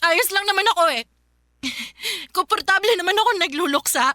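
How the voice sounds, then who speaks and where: A woman's voice: very high-pitched, fairly quick, pushed and bright, no echo, studio quality. An actress playing a grieving, pleading young woman in a Filipino radio drama, with a Filipino accent and a tearful delivery. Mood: tearful, resigned.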